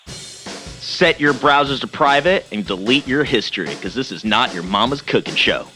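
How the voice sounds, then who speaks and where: Brash male promo voice, acted, cocky cooking-show host, punchy delivery, mid pitch, music bed. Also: animated, normal speed, sharp tone. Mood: hyped, cocky. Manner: hyped, punchy. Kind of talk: radio promo spot.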